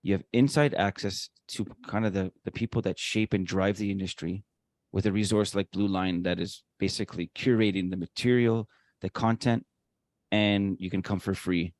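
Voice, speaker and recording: A man's voice: sits low, fairly even intonation, normal speed, dry recording. A man co-hosting a conversational interview podcast, talkative and emphatic, with promotional asides. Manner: talkative, emphatic, self-described showman. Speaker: podcast co-host.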